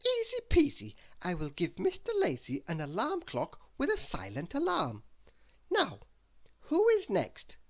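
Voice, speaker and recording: Reader doing a cheery young storybook girl, really high pitched, sing-song and eager, swoops about. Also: wildly swooping pitch, unhurried, heavily acted. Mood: breezy, confident. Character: cheerful young female birthday-loving storybook character, eager, puzzled. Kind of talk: children's storybook character voice.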